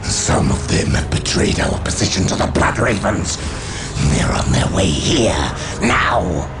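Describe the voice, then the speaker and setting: An actor playing a wrathful, impatient Chaos lord in a video game, with a forceful, indignant, barking delivery. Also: male voice, sits low, very animated, a little slow, loud music behind the voice, exaggerated voice. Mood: angry urgency. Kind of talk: dramatic video game acting.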